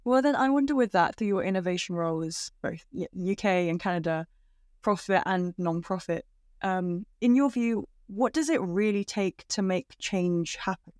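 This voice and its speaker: A woman's voice: mid-range voice, normal speed, a little mellow, no room sound, studio quality. A woman hosting an interview, asking questions in a friendly way with fillers like "kind of". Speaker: interview host.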